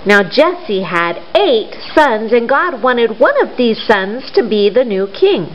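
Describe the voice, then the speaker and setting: A middle-aged woman doing storytime for young children, speaking simply with questions and pauses, recorded in a living room. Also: on the high side, big pitch swings. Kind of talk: storytime talk and read-aloud for young children. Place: living room at home, indoors. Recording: roomy, dull sound with faint noise.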